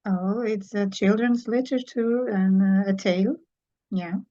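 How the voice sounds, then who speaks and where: A woman's voice: medium pitch, steady tone, measured pace, warm tone, highs cut off. A woman from Portugal with a Portuguese accent, speaking English as a second language and answering interview questions about her book, hesitant with many fillers. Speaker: author.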